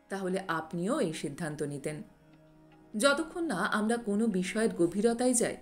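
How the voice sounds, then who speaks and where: A woman reading a scripted moral story in a measured, instructive manner, as a narrator. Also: medium pitch. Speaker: storyteller, narrator of a motivational video channel.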